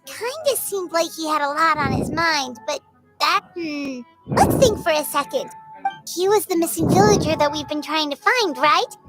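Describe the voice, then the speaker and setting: A woman's voice: extremely high pitch, poor audio. A woman voicing a tiny, childlike, talkative fairy companion in an anime game, speaking of herself in the third person. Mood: pondering. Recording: loud music under the voice.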